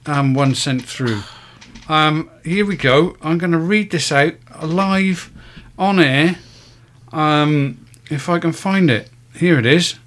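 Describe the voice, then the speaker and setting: A man's voice: fairly high pitch, fairly bright tone. A man hosting a podcast, chatting casually with lots of ums, thanking listeners and joking. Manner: rambling, many ums, jokey and thankful. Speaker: podcast host. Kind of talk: casual chat.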